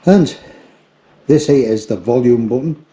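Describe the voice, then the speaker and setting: A man's voice: mid-range voice, unhurried. Middle-aged man, noisy casual gear review. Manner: plain-spoken, explanatory. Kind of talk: casual product review to camera. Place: forest trail outdoors, and small room indoors.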